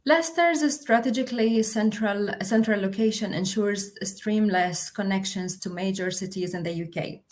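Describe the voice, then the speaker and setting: A woman's voice: medium pitch, moderate pace, few pauses, darkish tone. Hesitant woman presenting a livestream. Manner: hesitant, many fillers and restarts. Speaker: international officer.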